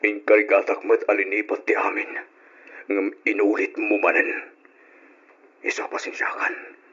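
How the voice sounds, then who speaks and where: An actor playing an aggrieved, betrayed husband in an Ilocano radio drama, speaking earnestly with emotion and an Ilocano accent. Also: male voice, on the low side, completely flat delivery, a little slow, fairly bright tone, breathy edge. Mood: firm, pained. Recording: muffled, dull old radio drama recording.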